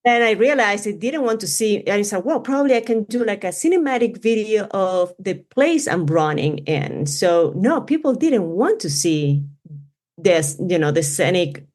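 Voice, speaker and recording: A woman's voice: medium pitch, big pitch swings, moderate pace, darkish tone. A woman from Venezuela with a Spanish accent, speaking English in a casual interview, rambling with many fillers. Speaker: running influencer guest.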